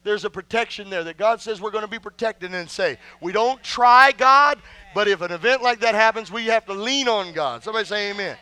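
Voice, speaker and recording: A man with a drawl preaches from the pulpit in a strikingly high, bright voice. He is emphatic and folksy, swinging from quiet asides up to shouted exclamations. The sound is smooth and close, with almost no room around it. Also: a little fast, no room sound. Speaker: pastor. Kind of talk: sermon. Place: church sanctuary.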